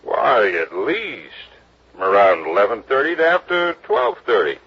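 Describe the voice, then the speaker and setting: A man's voice: mid-range voice, wildly swooping pitch, measured pace, heavy background noise. A man playing an easygoing drifter in a 1950s radio drama, drawling and hesitant. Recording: muffled old radio broadcast.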